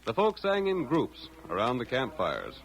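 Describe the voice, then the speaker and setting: A man's voice: medium pitch, wildly swooping pitch, moderate pace, low-quality recording. A man with an American accent narrating a vintage radio western in a dramatic, declamatory announcer style. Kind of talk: 1930s-40s radio scripted narration.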